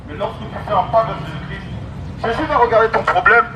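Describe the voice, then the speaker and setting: A man's voice: high-pitched, fast talker. A man preaching an exhorting, emphatic street sermon through a megaphone, returning to key phrases again and again. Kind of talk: street sermon, open-air evangelism. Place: outdoors on an urban street. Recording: amplified megaphone, loud outdoor street noise.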